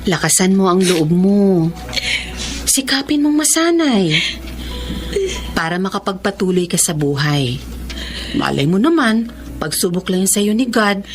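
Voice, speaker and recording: An actress playing a caring woman teacher of visually impaired students in a Filipino radio drama, gently and earnestly giving advice. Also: mid-range voice, big pitch swings, measured pace, pauses often. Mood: earnest, consoling.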